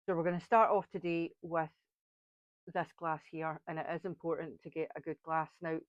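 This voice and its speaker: A woman's voice: low-pitched, steady tone, normal speed, no echo, muffled recording. A woman with a Scottish accent, chatting her way through a step-by-step crafting tutorial with blunt, frank asides. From Scotland.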